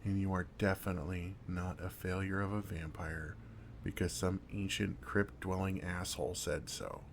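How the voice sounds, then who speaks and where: Kind older male vampire, acted by a man for comfort ASMR: deep, soft-spoken, gently teasing, faint noise behind. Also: flat delivery, moderate pace, gravelly. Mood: earnest, firm comfort. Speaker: ASMR roleplay performer. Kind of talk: intimate ASMR roleplay, second-person comforting.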